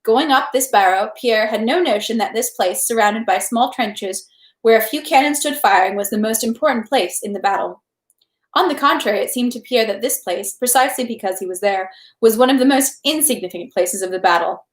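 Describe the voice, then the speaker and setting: A woman reading a novel aloud as narration, in an animated, expressive way. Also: a little high, fairly quick. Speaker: read-aloud host. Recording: echoey room.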